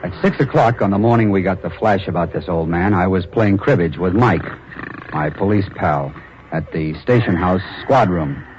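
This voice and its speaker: An actor in a 1940s radio drama playing a tough young police reporter who narrates in the first person. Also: male voice, deep voice, normal speed, a little mellow, slight background noise, lightly acted. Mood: brooding. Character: hard-boiled young male police reporter. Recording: dull lo-fi old radio recording.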